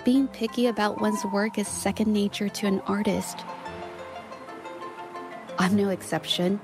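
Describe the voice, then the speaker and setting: A woman's voice: mid-range voice, steady tone, measured pace, music in the background, lightly acted. A woman voicing a shy, earnest, self-doubting young woman painter in a video game, hesitant and apologetic. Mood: wistful, sincere. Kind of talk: dramatic game voice acting.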